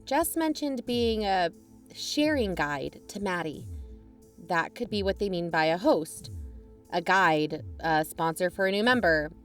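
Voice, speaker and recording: A woman in scripted audio drama dialogue, conciliatory and pleading, her pitch rising when anxious. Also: animated, measured pace. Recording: music bed underneath.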